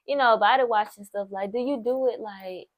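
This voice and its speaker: A woman's voice: on the high side, moderate pace, no echo, rough recording. A nineteen-year-old woman vlogging casual advice to camera, chatty and encouraging, repeating points for emphasis. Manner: chatty, repeats herself for emphasis, lots of "like" and "so, yes"; encouraging, speaks straight to the viewer.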